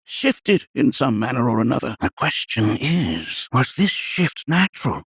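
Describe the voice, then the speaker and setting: An actor voicing a wise, kindly old scholar in a video game, with a raspy, aged voice and a ponderous, formal manner. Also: male voice, mid-range voice, sing-song, unhurried, a bit bright, no echo, clean studio sound, exaggerated voice. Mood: curious, amazed. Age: older. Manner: ponderous, formal, archaic storyteller phrasing. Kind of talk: video game character voice acting.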